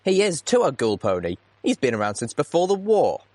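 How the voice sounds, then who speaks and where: A man's voice: a little high, huge pitch swings, fairly quick, a little mellow, no room sound, voice pushed up. A man voicing opinionated tavern ponies trading gossip and squabbling in a story. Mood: eager bickering.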